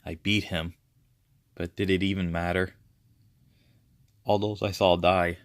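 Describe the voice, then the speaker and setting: A weary young superhero, acted by a man broods over whether he is cut out for heroism. His voice is low and subdued, in the manner of a storybook monologue. The recording is dry. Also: little pitch movement, a little slow, no echo. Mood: brooding, doubtful. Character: weary, self-doubting young male superhero.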